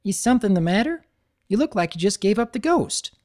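A man's voice: high voice, big pitch swings, brisk, darkish tone, pitched above the performer's own voice. A man voicing a hardworking, cheerful adult farm pony mare with a put-on Southern drawl. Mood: concerned. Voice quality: folksy, drawling.

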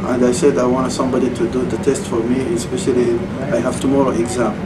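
A Saudi Arabian man in his thirties speaks quickly, with a slightly raised pitch, restarting his phrases in non-native English. He is recorded from a distance by a camera in an indoor computer lab, with a clear air-conditioning hum behind him. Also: little pitch movement. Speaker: former student. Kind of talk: casual interview answers. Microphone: far camera mic.

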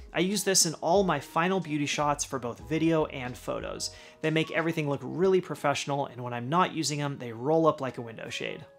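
A middle-aged man with a slightly raised pitch talks through a list of workshop tools. Each item gets an upbeat lift, then his voice settles as he finishes the thought. The light music underneath keeps it feeling like a produced channel segment. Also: a bit bright. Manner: upbeat list-style delivery, dropping at the end of each item. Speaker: woodworking channel host. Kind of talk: scripted product rundown. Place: home garage workshop.

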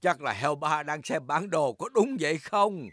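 A man voicing an amused, unhurried old grandfather pig in a Vietnamese cartoon dub. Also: on the high side, animated, fairly bright tone.

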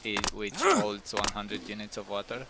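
A man hosting a survival game session in voice chat, non-native English speaker, hesitant with many pauses. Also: lowish voice, very animated, a crowd in the background. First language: not English. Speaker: channel host and player. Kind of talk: casual gaming voice chat.

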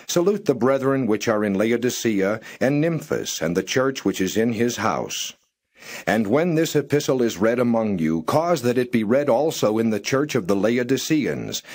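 A man reads scripture aloud in a slow, deliberate cadence, pausing after each phrase. His voice sits low and carries a slight huskiness. The pitch moves widely through each verse. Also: a little mellow, slightly rough. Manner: slow, measured reading with pauses between phrases. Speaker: scripture reader. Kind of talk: plain Bible reading.

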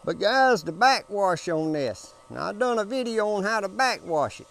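A man's voice: high-pitched, animated, moderate pace, creaky, fairly bright tone. An older man gives a folksy, casual how-to talk outdoors by his backyard pool, speaking to a camera a few feet away. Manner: folksy, down-home, repeats himself. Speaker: homestead vlogger.

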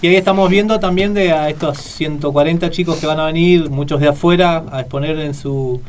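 A man's voice: on the high side, fairly even intonation, fairly quick, far from the mic, a little hiss or hum. A man from the municipality explaining event plans in a radio interview, talking at length with many restarts.

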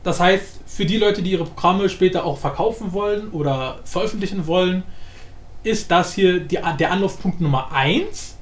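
A man giving a casual software tutorial, rambling informally in slang and thinking aloud. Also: high voice, normal speed. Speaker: tutorial host. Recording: echoey room, faint background noise.

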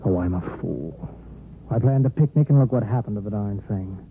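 Flippant boyfriend on tinny old radio. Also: male voice, on the low side, expressive, moderate pace, soft background music. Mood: irritated, cynical. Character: flippant, impatient well-off boyfriend, cynical and possessive. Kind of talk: 1950s American radio drama acting. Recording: tinny, hissy 1950s radio recording.

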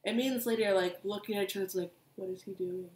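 A young woman, co-hosting a podcast and telling stories in an animated, casual way. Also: mid-range voice, racing. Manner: animated storytelling, many asides. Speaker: podcast co-host. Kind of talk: casual chat. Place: living room couch, indoors. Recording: echoey room.

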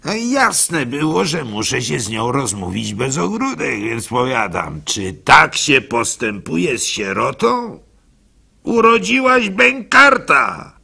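A gullible village fool in a radio play, telling his woes in a rueful, folksy way. The voice is bright and forceful with a creaky edge. He takes his time, sighing theatrically as he goes. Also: medium pitch, big pitch swings, slow, heavily acted. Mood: aggrieved. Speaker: radio drama actor. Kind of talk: first-person dramatic monologue storytelling.

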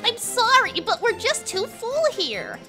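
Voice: very high-pitched, very animated, a little slow, heavily acted. An actor voicing a falsely polite, blunt innkeeper woman in a cartoon parody dub. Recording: loud music under the voice.

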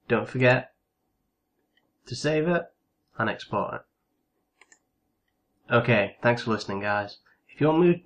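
A man giving a casual step-by-step software tutorial as its host, in an instructional voice-over. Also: mid-range voice.

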